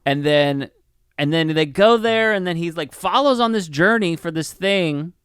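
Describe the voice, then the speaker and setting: A man with a slightly high, clear voice chats as a podcast co-host in a dry, close recording. He rambles through a casual film review, swears freely and slips into mock dialogue to make his points. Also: expressive, normal speed, fairly bright tone, dry recording.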